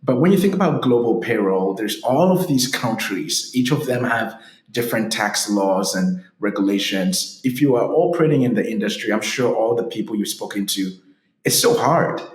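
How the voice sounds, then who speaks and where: A man from Ghana with a lowish voice explains his field as a podcast guest, upbeat and steady, tagging points with "right?". His voice rings in an echoey room. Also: moderate pace. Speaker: startup founder guest. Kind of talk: podcast interview answers.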